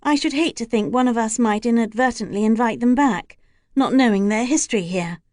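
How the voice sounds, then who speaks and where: A well-bred young lady speaks with careful courtesy and gentle reassurance, as a woman narrator puts her on. The recording is dry and close. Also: mid-range voice, normal speed, no room sound, natural voice. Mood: polite. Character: young genteel lady, polite and tactful.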